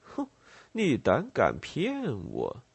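A man's voice: a little high, huge pitch swings, takes it slowly, gravelly, slightly rough, no echo, pitched above the performer's own voice, full character voice. A man voicing a bluff, commanding rebel leader who poses as emperor in a story, with a swaggering, emphatic delivery. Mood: menacing.

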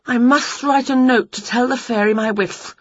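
Woman voicing a shrill, dramatic witch. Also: steady tone, normal speed, slightly rough, no room sound. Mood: delighted. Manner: shrill, theatrical, over-the-top. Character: excitable, dramatic female witch. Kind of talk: storybook character voice. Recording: dull, lo-fi dry recording.

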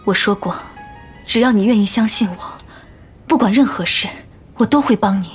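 An actress voices a well-born woman judge, assured and fiercely protective. Her mid-pitched delivery belongs to a modern audio drama, with some music underneath. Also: normal speed, natural voice. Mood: earnest. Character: protective, self-assured lady judge, devoted to her beloved.

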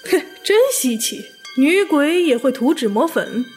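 A woman playing a shrewd, composed young gentleman detective in a period audio drama, with wry delivery. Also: high voice, animated, unhurried. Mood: curious, wry. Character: cool, shrewd young gentleman sleuth of ancient China. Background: faint music.

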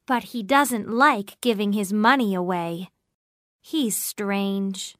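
A woman's voice: medium pitch, takes it slowly, fairly bright tone, no room sound, studio quality. A woman voicing an astonished, gossipy young woman in a storybook, speaking with emphatic wonder. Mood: amazed, gossipy. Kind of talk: storybook character dialogue.

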